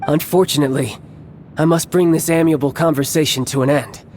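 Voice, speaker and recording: An actor playing a cocky, battle-hungry young villain in a video game cutscene, speaking in a measured, smug and theatrical way with formal phrasing. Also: male voice, a little high, fairly even intonation, darkish tone, a little hiss or hum, natural voice. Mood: smug, disdainful.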